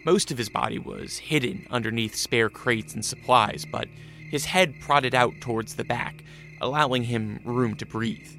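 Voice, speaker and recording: A man with a gravelly voice reading scripted narration for a radio drama. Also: medium pitch, normal speed, sharp tone, faint music behind.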